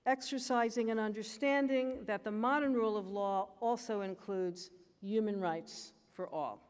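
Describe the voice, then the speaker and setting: An older American woman giving a formal graduation speech at a lectern, with a measured, emphatic delivery. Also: medium pitch, steady tone, darkish tone, smooth, in a large hall. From United States. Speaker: commencement speaker. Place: large auditorium stage at a lectern. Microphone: lectern microphone.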